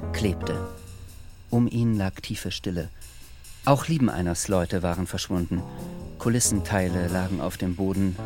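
A man narrating a literary radio play as a sober, reflective storyteller, in a measured manner. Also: fairly low pitch, lightly acted. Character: sober, reflective male storyteller of a wartime novel.

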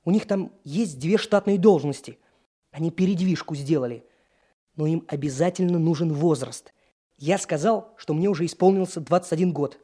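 An actor as a husky, scheming young man. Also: fairly high pitch, moderate pace, warm tone, close to an everyday voice. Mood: scolding, persuading. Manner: conspiratorial, persuasive. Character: brash, scheming young male police trainee. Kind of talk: radio drama acting.

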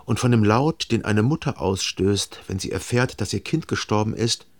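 Actor as a husky, brooding male narrator. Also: on the low side, lightly acted. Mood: absorbed, analytical. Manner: reflective, measured, long deliberate sentences. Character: brooding, jealous, introspective male first-person narrator in love. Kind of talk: literary radio drama narration, first person.

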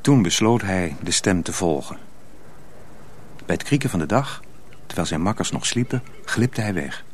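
A man's voice: sits low, lively intonation, moderate pace, long pauses, a little hiss or hum. A man narrating a radio drama as a scripted storyteller.

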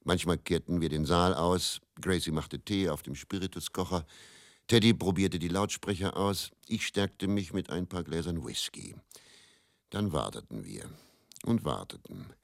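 World-weary faith healer, very low voice, dry studio sound, brooding, bitter, theatrical radio drama monologue. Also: male voice, unhurried, creaky, dry recording. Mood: wry, reminiscing. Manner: brooding, musing, repeats words, theatrical shifts between murmur and outburst.

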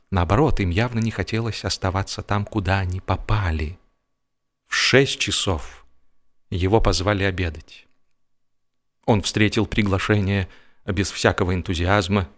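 A man narrating a humorous story in an expressive, theatrical, wry manner with deliberate emphasis. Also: fairly low pitch. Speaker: audiobook narrator. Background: music.

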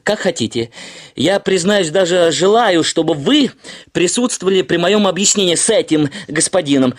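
An actor playing a brash, self-important young suitor in a radio play, curt and pompously formal, with little hesitations. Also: male voice, high-pitched, expressive, moderate pace. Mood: self-assured. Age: young adult. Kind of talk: Russian radio play acting.

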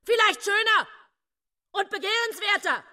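A woman's voice: extremely high pitch, animated, measured pace, pushed and bright, heavily acted. A woman playing an exasperated, jealous daughter voicing her seething inner thoughts in a radio drama, agitated and indignant. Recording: long reverb with faint music.

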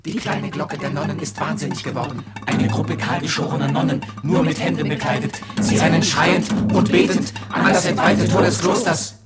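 Man as radio drama narrator, fairly high voice, deliberate declamatory phrasing, vivid, loud music behind. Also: steady tone, lightly acted. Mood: ominous, vivid. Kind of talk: scripted radio play narration.

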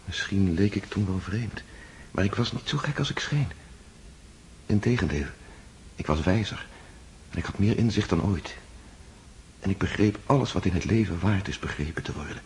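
An actor playing an anxious, lovesick young nobleman in a radio play, speaking in halting, stammering bursts. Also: low voice, animated, slight background noise. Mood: reflective.

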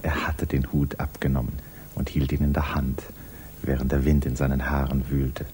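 A man narrating a 1950s German literary radio drama with measured, intimate storytelling. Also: rumbling low, monotone, a little mellow, breathy edge. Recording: hissy 1950s radio recording.

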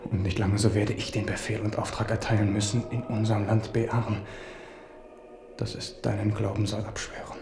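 A man's voice: on the low side, noisy background, natural voice. An actor playing a guarded, pleading, wry young king in a German radio drama. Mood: burdened.

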